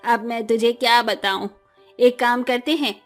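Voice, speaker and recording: A woman voicing excitable, bossy women of a family in a cartoon comedy, emphatic and exclaiming. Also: a little high, unhurried, soft background music, close to an everyday voice. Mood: excited. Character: bossy, excitable mother-in-law and daughter-in-law obsessed with street snacks.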